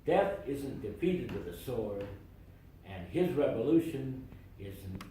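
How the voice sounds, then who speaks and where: A man reads a sermon in a measured, deliberate way and leans hard on key phrases. His pitch moves a lot, and he takes his time. He is picked up by a distant mic in a very reverberant church. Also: sing-song, dark tone, slight background noise. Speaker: lay reader delivering a sermon. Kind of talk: read sermon. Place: church sanctuary. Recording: very echoey church from far off.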